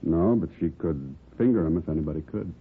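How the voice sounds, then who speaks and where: Very deep-voiced, laconic informant. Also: male voice, expressive, brisk, slightly rough, exaggerated voice. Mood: guarded. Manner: laconic, slangy. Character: guarded, world-weary male underworld informant. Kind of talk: 1950s radio crime drama. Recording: dull, slightly noisy vintage radio recording.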